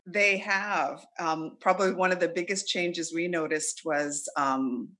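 A middle-aged woman talks in a bright, clear-edged voice. She chats loosely in a relaxed interview, filling pauses with ums and laughing easily. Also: fairly low pitch. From Canada. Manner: chatty, with frequent ums and laughs. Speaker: interview guest. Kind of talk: casual conversational interview.

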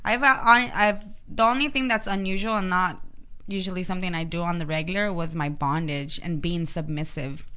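A woman's voice: on the low side, steady tone, a little fast, pushed and bright, clear, resonant tone. A woman from Mexico with a Spanish accent, chatting frankly as an interview guest and often giggling. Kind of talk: casual interview chat.

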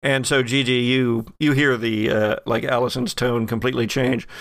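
A man acting as game master, narrating a horror-comedy tabletop game in a halting, deliberate way. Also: mid-range voice, little pitch movement, gravelly, no echo.

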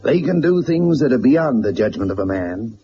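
Proud, blunt tycoon, animated pitch. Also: male voice. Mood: grave, troubled. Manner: halting, emphatic, confessional. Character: wealthy, proud, blunt middle-aged businessman, used to power. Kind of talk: radio drama acting. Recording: dull, noisy old radio drama recording.

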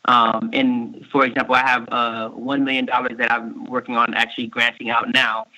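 A man in his thirties, born in Haiti and raised in Miami, with a Miami accent, answering interview questions in a halting way, full of fillers and restarts. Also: mid-range voice, flat delivery, pushed and bright. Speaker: state workforce official, guest. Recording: muffled lo-fi recording.

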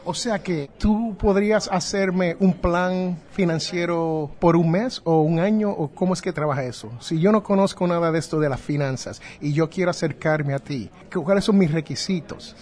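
A man's voice: on the high side, moderate pace, hardly any pauses, a little mellow. A man from New Jersey hosting a casual interview, inquisitive and rephrasing what he hears to check it. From New Jersey, United States. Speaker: podcast host, interviewer.

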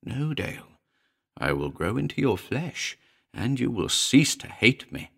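A man voicing a small, malevolent demon familiar with a shrill, sly, insistent delivery in an audio horror story. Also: sing-song, measured pace, creaky, fairly bright tone, husky, full character voice. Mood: taunting.

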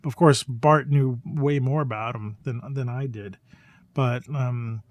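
A man talks casually as a podcast host, with a mid-pitched voice. He often pauses and searches for the next word, and the sound is close and dry. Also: fairly even intonation, no room sound. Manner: halting, searching for words. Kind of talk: casual conversational podcast chat about photography.

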